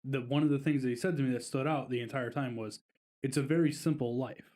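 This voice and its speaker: A man's voice: mid-range voice, steady tone, fast, mellow. Easygoing man telling a rambling story. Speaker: podcast co-host. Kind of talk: casual podcast chat.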